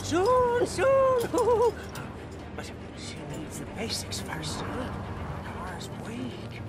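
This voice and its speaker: Shrill, fervent wasteland mechanic zealot. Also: male voice, huge pitch swings, extremely slow, over loud music, exaggerated voice. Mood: eager. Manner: pleading, grandiose, religious phrasing. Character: fervent, servile, hunchbacked mechanic zealot, excitable and devout. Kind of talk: video game character acting.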